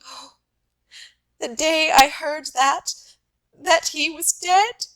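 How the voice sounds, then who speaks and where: A woman's voice: high voice, a bit bright, pitched above the performer's own voice, heavily acted. Woman acting sad, grieving young wife, veiled voice. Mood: grief-stricken. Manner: sad, halting. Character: grieving young Irish wife recalling a lost love.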